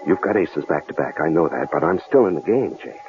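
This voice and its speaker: A frightened, pleading captive from a radio play. The low voice moves up and down a lot as it halts, stammers and repeats itself. The sound is tinny, like an old noisy radio recording. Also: male voice, lively intonation, vocal fry, full character voice. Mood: nervous, pleading. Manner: halting, stammering, nervously repeating himself. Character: frightened, pleading husband held captive by a killer. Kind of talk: radio drama acting.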